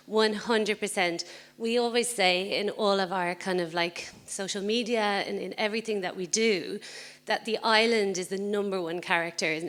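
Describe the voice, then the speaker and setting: Bright-voiced woman moderating a stage panel, chatty and informal. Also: medium pitch. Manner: chatty, with frequent fillers and light laughter. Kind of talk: casual live panel talk. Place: stage at a community event.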